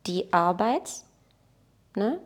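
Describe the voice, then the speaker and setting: A woman's voice: medium pitch, big pitch swings, very slow. A woman in her thirties from Portugal, a native Portuguese speaker, chatting in a casual interview as a guest, talkative and self-mocking. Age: 30s. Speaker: podcast guest.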